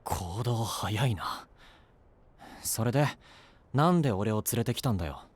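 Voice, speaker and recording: Brusque young would-be knight, lively intonation. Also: male voice, lowish voice, measured pace, husky. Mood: wary, blunt. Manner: blunt, casual, brooding. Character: brusque, self-doubting young male runaway, would-be knight. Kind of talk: Japanese fantasy audio drama acting. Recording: voice over background music.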